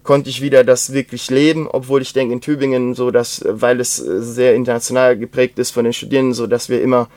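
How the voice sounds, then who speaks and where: A young man with a slight Swabian accent, talking casually and rambling with many pauses, fillers and restarts. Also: mid-range voice, level tone, darkish tone, no room sound. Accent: German with Swabian traces. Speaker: interview guest. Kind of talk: casual conversation.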